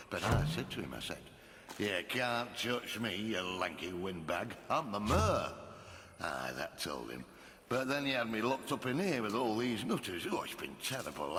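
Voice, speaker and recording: A man's voice: mid-range voice, a bit bright. A man playing a blustering, folksy mayor with a Northern English accent in a video game. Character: blustering, indignant small-town mayor, friendly to the hero. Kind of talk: video game character acting. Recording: long hall reverb, faint noise.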